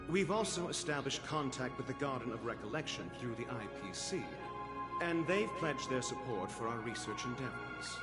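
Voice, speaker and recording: A man playing a self-assured smooth talker in a video game, speaking formally with a slightly wry edge. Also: mid-range voice. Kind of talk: video game character acting.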